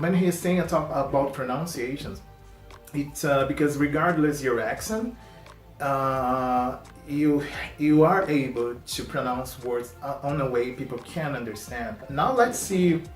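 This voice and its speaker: Male host, native Portuguese speaker, accented English, mid-pitched, loose halting delivery, echoey room with soft music underneath. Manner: halting, many fillers and restarts. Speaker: host reacting to a video. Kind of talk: casual reaction commentary. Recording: roomy echo, faint music.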